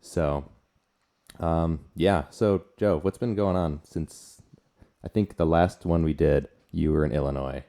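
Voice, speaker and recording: A man in his twenties hosting a podcast in casual chat, informal and a little halting. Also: extremely low pitch, mellow. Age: 20s. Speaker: podcast co-host.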